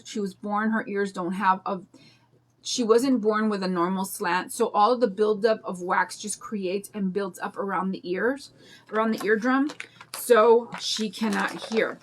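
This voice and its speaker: Chatty, raspy older woman. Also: medium pitch, fairly even intonation, unhurried. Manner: chatty, rambling, greets viewers by name. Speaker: craft livestream host. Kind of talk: casual chat during a craft demo.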